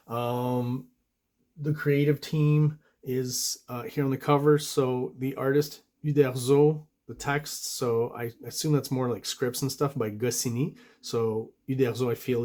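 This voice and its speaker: A man from Quebec, a native French speaker, hosting a relaxed comic book review with rambling asides and lots of fillers. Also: mid-range voice. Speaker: host and comic artist.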